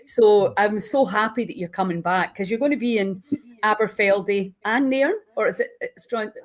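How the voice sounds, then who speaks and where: A woman's voice: medium pitch, moderate pace. A woman hosting an informal live online interview in a chatty, enthusiastic way. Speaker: host.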